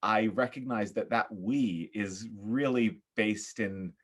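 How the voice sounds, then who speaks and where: A man's voice: on the low side, takes it slowly, a bit bright. A man speaking as a podcast guest in casual, reflective conversation, thoughtful and often groping for his words.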